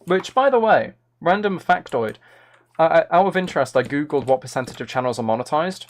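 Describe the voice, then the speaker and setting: A man's voice: fairly high pitch, sing-song, brisk. A young man chatting casually while he streams a game, rambling and self-deprecating into a close mic. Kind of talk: casual live-stream chat while gaming.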